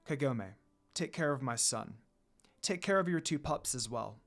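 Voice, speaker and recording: A man voicing a stately demon noblewoman in a story, a formal, questioning mother who softens. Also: medium pitch, lively intonation, measured pace. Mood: approving, kindly. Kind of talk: storybook character reading.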